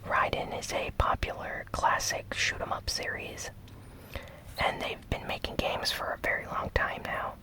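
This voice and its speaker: A host softly whispering a gaming news recap in a breathy, unhurried ASMR style. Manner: slow, soft, unhurried delivery.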